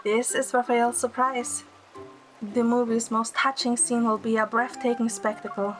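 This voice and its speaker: A woman's voice: a little high, flat delivery, normal speed, faint music behind, natural voice, poor audio. A woman playing a wry, affectionate young heroine who narrates her own romance in an audio drama. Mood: narrating. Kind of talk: first-person narration and dialogue in audio drama.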